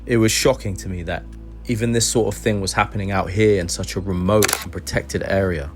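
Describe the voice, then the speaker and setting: A man with a low voice tells the story of a safari in an earnest, reflective voice-over. Soft music plays beneath him. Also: steady tone, fairly quick. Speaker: wildlife photographer and vlogger. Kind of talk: reflective travel narration.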